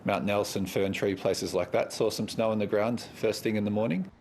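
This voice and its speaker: A man's voice: on the low side, steady tone, moderate pace, a little mellow, slight background noise. A man giving unscripted comments as a weather forecaster in a news interview.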